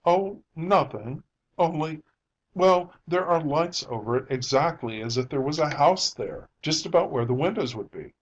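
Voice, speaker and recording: Man playing a shaken son feigning calm. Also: a little high, moderate pace, highs cut off, pitched above the performer's own voice. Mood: uneasy, feigned calm. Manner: shaky, casual, trying to sound calm. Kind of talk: dramatic audiobook character reading.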